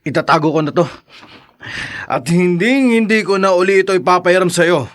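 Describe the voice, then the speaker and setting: An actor playing a cautious, scolding friend in a Filipino radio drama, with exclamatory, admonishing delivery. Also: male voice, high-pitched, normal speed, natural voice. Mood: alarmed, scolding. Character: cautious, scolding friend who owns a gun.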